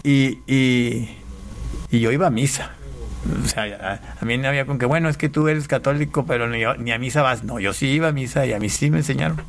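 A man's voice: medium pitch, a little fast. Man from Panama telling his story, noisy background. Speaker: interview guest. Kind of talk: personal testimony, casual storytelling.

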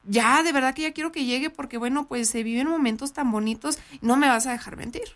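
A loud, excitable woman with a shrill, squeaky voice and a northern Mexican accent, chatting casually as a radio co-host. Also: a little fast.

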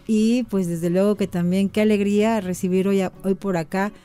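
A woman's voice: mid-range voice, fairly even intonation, moderate pace, dark tone, smooth. A woman hosting an interview about farming, chatty and effusive, sending greetings and asking guests about themselves. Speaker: host.

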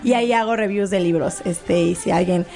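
A woman guest introduces herself on a radio show in a mid-pitched voice. She pauses and fills gaps as she talks. Quiet music runs behind her. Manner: hesitant, fillers like "este". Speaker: radio guest, book reviewer.

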